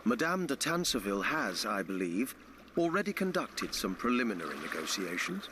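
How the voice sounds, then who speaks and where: An actor playing a fussy, self-important town official in a video game, formal and over-polite. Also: male voice, fairly low pitch, big pitch swings, normal speed. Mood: courteous, formal. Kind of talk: video game cutscene acting. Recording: game dialogue over clear water noise.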